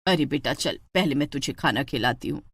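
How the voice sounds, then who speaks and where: Story role: caring, anxious middle-aged mother, done by a female narrator, fussing, pitched down, dry sound. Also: fairly quick, fairly bright tone, breathy edge, no echo, exaggerated voice. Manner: fussing, concerned.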